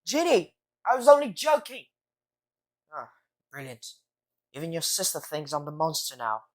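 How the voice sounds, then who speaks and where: A woman voices a plucky boy wizard in an audio drama, low-pitched for a female voice. The delivery swings widely, teasing and dryly humorous. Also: moderate pace. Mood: mock alarm. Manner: lively, dryly joking.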